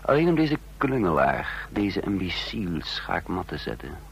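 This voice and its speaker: An actor playing a stern intelligence chief who also narrates a radio drama, grave, deliberate and authoritative. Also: male voice, sits low, sing-song, gravelly, slight background noise, exaggerated voice, rough recording. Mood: grave, haunted. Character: commanding intelligence chief, coldly furious, remorseful narrator. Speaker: narrator and lead character. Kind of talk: dramatic radio play acting.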